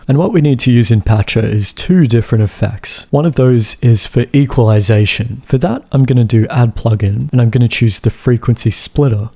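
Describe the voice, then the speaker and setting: A man giving a casual, step-by-step instructional narration, like a software tutorial host, with some dry humour. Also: on the low side, breathy edge. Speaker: music production tutorial host. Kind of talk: instructional screen-recording narration.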